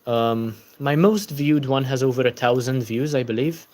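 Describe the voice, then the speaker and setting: A man's voice: mid-range voice, normal speed, darkish tone. A tired young man hosting a casual book-channel livestream, chatting and answering viewer comments.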